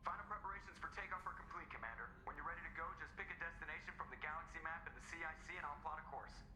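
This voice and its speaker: A woman playing a brisk, procedural ship voice over an intercom radio in a video game. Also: low-pitched, echoey room, over music, rough recording. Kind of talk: video game dialogue acting.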